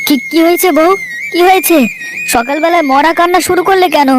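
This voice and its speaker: Woman playing a high-voiced, practical village relative. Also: a little fast. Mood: alarmed. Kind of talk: cartoon folk-tale dubbing. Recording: voice over prominent background music.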